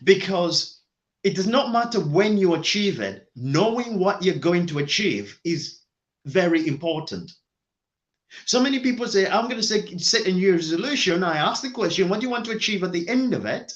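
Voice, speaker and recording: A man's voice: high voice, highs cut off. A man giving a motivational talk, speaking emphatically and repeating his key phrases.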